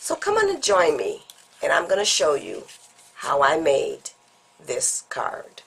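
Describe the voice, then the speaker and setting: Low-voiced congested woman teaching crafts. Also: huge pitch swings, a little slow, creaky, a bit bright, husky. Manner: chatty, explains each step while working. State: congested from a cold. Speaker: papercraft tutorial host. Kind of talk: step-by-step craft demonstration.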